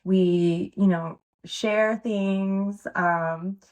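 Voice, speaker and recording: A woman's voice: mid-range voice, fairly even intonation, extremely slow, darkish tone. A woman pole dance instructor, a guest on a podcast, talking casually in a rambling way with many fillers and laughs. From United States. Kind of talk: casual interview chat.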